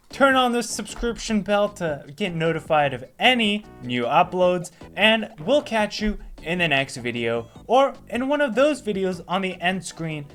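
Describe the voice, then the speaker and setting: A man hosting a casual gaming video, chatting upbeat and walking viewers through software. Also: high-pitched. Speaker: video host.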